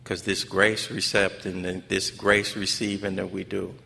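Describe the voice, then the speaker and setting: Convention speaker: American man, low gravelly voice, steady narrow range, preacher-like repetition, recorded in a reverberant hall. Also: a little slow. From Los Angeles, United States. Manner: emphatic, repeats phrases for effect, colloquial grammar. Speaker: speaker at a recovery fellowship convention. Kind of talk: personal testimony speech, preacher-like. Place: large conference hall. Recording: echoey hall PA with background noise.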